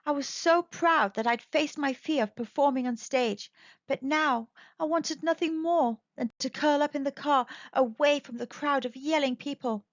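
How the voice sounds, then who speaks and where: A woman's voice: high voice, normal speed. A woman narrating a children's story expressively from a script as a storyteller. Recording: slightly dull, echoey home recording.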